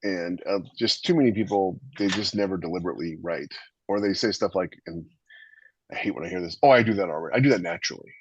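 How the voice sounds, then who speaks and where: A man with a husky voice explaining his views on a podcast in a casual but emphatic, opinionated way. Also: deep voice, fast talker. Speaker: podcast host and author. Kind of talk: casual writing discussion. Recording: roomy, slightly dull lo-fi microphone.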